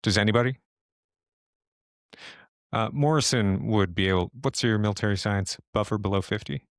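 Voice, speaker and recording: A man narrating a tabletop horror game as game master, improvising in a halting way as he thinks aloud. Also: lowish voice, fairly even intonation, dry recording, studio quality.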